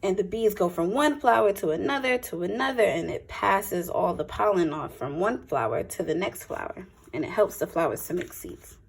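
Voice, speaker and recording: A woman teacher reading a picture book aloud to young children, with expressive phrasing and simple explanatory asides. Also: mid-range voice, normal speed, vocal fry, hardly any pauses, husky, rough recording.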